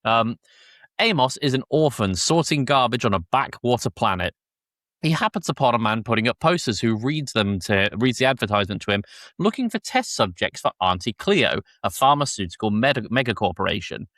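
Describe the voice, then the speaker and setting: A man's voice: medium pitch, very animated, a little fast, fairly bright tone, no echo, clean studio sound. A man hosting a podcast, recapping and discussing in a casual, conversational way. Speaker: podcast host.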